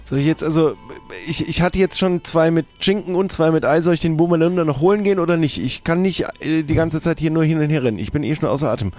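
A man's voice: fairly high pitch, fast talker, hardly any pauses, darkish tone, no echo, faint music behind, natural voice. A man voicing a harried, grumbling tavern food seller who stammers in an improvised audio drama. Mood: flustered, harried. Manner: flustered, stammering, complaining.

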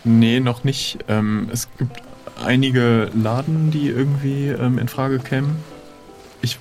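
A man plays an improvised adventure game in casual dialogue. His voice is middle in pitch with plenty of fry, and he hesitates as he thinks aloud. Faint music sits behind him. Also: fairly even intonation, slow pace, darkish tone. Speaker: player in an improvised role-playing game.

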